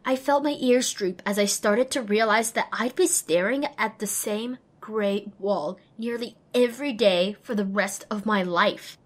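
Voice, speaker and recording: A woman's voice: mid-range voice, measured pace, few pauses, close to an everyday voice. A young woman voicing an earnest, self-deprecating young female pony apprentice, narrating an audio drama expressively and wryly. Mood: glum, bored. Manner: expressive, wry. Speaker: lead and first-person narrator.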